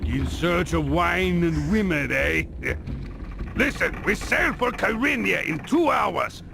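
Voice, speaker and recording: A man voicing a gruff, blustering pirate captain in a video game, scornful of his own crew. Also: high-pitched, lively intonation, slow pace, noisy background, heavily acted. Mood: irritated, scornful.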